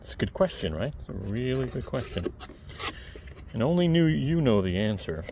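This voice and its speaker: A man with a soft, calm voice chatting casually to viewers while cleaning something outdoors on a lawn, filmed on a close-held camera. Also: medium pitch, normal speed, background noise. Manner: chatty, rambling asides to the viewer. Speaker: video creator. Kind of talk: casual how-to talk while working. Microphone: camera held close, point of view.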